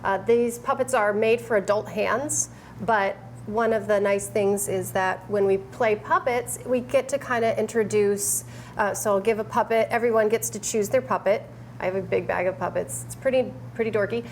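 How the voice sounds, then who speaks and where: A woman's voice: medium pitch, fairly even intonation, moderate pace, a little hiss or hum. A woman improv teacher in an interview, explaining puppet games in an upbeat, explanatory way.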